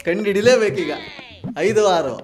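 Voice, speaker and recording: A man's voice: high voice, lively intonation, moderate pace. A man with a southern Karnataka accent hosting comic prank calls, teasing and argumentative in a mock-scolding way. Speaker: radio prank call host. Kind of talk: comic prank phone call. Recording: music bed behind the voice.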